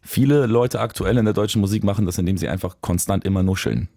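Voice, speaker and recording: A man, a musician, talking reflectively in an interview, searching for words with pauses and restarts. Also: on the low side, gravelly, dark tone, no room sound, clean studio sound. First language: German. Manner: thoughtful, searching, with fillers and restarts. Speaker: musician guest.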